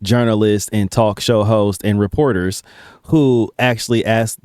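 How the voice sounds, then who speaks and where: Low-voiced man giving casual reaction commentary. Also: fairly even intonation, unhurried, a little mellow, clear, resonant tone, no echo, studio quality. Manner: hesitant starts, slangy, profane. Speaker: reaction video host.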